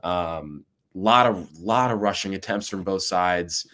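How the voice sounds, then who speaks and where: A young man hosting a sports podcast, opening the show in a steady, lightly scripted way with a few fillers. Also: deep voice, slow pace. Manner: steady, scripted-sounding host intro with some fillers. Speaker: podcast host. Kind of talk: casual sports podcast intro.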